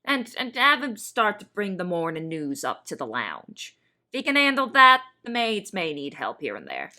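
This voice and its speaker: A woman playing a brisk, imperious lady of a big house in an audio drama, commanding and businesslike. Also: lowish voice, huge pitch swings, pushed and bright, exaggerated voice. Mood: brisk, appraising.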